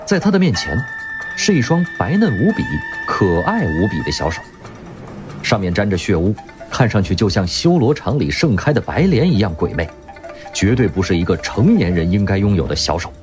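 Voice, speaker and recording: Expressive male drama narrator. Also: lowish voice, normal speed. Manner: vivid, expressive storytelling. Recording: music underneath.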